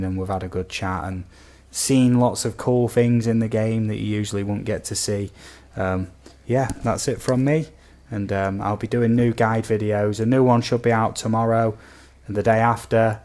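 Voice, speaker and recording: A man with a fairly low voice that has a slight croak to it. He talks as a game streamer hosting a casual live chat. His manner is easygoing and chatty, addressing viewers like mates. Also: a little mellow.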